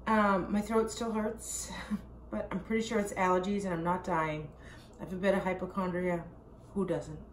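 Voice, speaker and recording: A woman in her forties, hoarse from a sore throat, chatting in a rambling, self-deprecating way in a casual video diary filmed in her home kitchen. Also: fairly low pitch, fairly even intonation, moderate pace, a little hiss or hum. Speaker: diet vlogger. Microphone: camera at arm's length.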